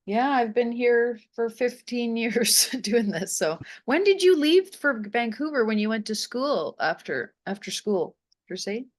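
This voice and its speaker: A woman's voice: on the high side, animated, unhurried. A woman hosting a casual interview, chatty, effusive and encouraging as she talks. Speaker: interviewer, magazine host.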